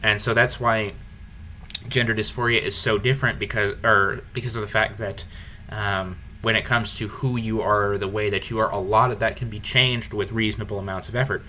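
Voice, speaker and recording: Male podcast host, low baritone with a bright, forceful tone; earnest, reflective, unscripted. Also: steady tone, normal speed, a little hiss or hum. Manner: reflective, candid, restarts phrases. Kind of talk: earnest conversational podcast talk.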